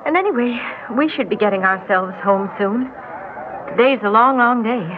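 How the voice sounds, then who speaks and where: An American actress playing a gracious, briskly polite mayor's wife in a classic radio drama. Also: female voice, a little high, expressive, lightly acted. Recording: tinny, noisy old radio recording.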